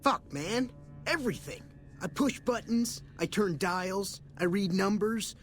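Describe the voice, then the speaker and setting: An actor playing a cocky, dim-witted slacker technician in a video game, with a slangy, boastful, swaggering drawl. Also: male voice, high voice, a little slow, soft background music. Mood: smug, dreamy.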